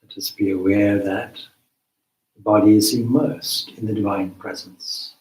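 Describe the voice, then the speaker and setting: Male meditation guide: lowish pitch, very unhurried, deliberate delivery with long pauses, recorded in an echoing room. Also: fairly even intonation, darkish tone. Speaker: meditation teacher, swami. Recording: roomy, echoing space.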